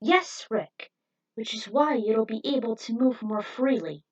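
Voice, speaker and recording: A woman's voice: a little high, lively intonation, unhurried, breathy edge, lo-fi sound. A woman voicing a bold, cocky veteran male racer who barks commands and taunts in a fan-fiction story. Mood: confident, commanding. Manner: forceful, taunting, barking commands.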